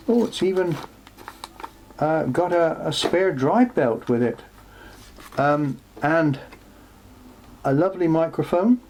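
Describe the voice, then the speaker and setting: A man presenting an unscripted show-and-tell in a workshop, speaking haltingly as he thinks aloud. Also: fairly high pitch, darkish tone. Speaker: presenter showing vintage electronics for restoration. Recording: clearly noisy, with background hum.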